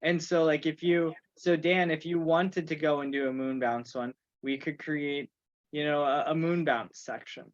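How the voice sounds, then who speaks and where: A man with a slightly high voice chairs a casual online meeting. He talks haltingly, working through his thoughts aloud. The sound is dry and a little dull, as on a video call. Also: fairly even intonation, no echo. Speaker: meeting host and organizer. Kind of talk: informal online meeting talk. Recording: muffled video call audio.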